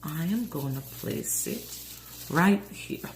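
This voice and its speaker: A hoarse woman in a casual craft vlog, calmly talking through each step as she works. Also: low-pitched, takes it slowly. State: hoarse, voice going. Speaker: craft vlogger. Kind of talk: casual DIY tutorial narration. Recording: roomy echo with faint noise.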